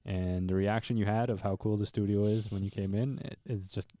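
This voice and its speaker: A man's voice: fairly low pitch, steady tone, brisk, warm tone, no room sound. A man hosting a podcast interview in a studio, earnest and encouraging as he talks with his guest. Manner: earnest, encouraging, speaks about men's struggles. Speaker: podcast host. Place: recording studio. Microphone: headset mic and headphones.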